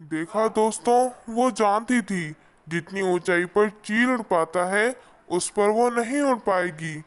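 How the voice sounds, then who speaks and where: A man voicing a big, wise eagle in a children's cartoon, measured, authoritative and reassuring. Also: high voice, clear, resonant tone. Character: big male eagle, wise helpful forest elder. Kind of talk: children's cartoon story dialogue.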